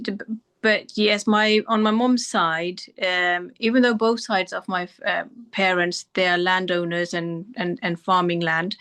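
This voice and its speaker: A woman's voice: lowish voice, fairly bright tone. A middle-aged woman doctor from Pakistan, answering interview questions thoughtfully with frequent restarts. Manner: thoughtful, many restarts and fillers. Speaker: doctor guest.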